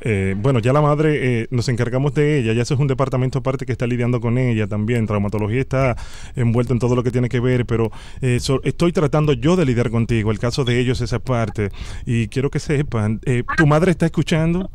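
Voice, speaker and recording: A man speaks at a medium pitch into a close studio microphone. He plays an apologetic official on a prank call, hesitating and stumbling over his phrases. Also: fairly quick, darkish tone, no echo. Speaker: prank-call host posing as a hospital doctor. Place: small indoor home studio. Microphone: close studio microphone on arm with headphones.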